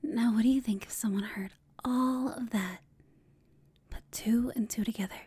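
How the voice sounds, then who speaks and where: Breathy, whispering villainess played by a woman, smugly flirtatious. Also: a little high, fairly even intonation, moderate pace, warm tone, no echo. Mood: smug teasing. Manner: smug, teasing, seductive. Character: confident teasing female villain, flirting with an enemy. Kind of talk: ASMR roleplay, intimate and whispered.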